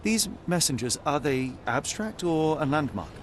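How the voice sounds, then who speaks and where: An actor playing a composed, analytical British detective in a video game, speaking in a measured, formal way. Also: male voice, fairly high pitch, very animated, a little hiss or hum. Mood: businesslike. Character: composed, analytical young gentleman detective, coolly persuasive.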